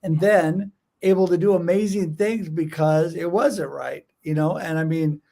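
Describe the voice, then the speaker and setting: A man's voice: fairly high pitch, a little slow, vocal fry, mellow. A man hosting a casual video-call podcast, enthusiastic and effusive, rambling a little with fillers. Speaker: podcast host. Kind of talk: casual interview chat.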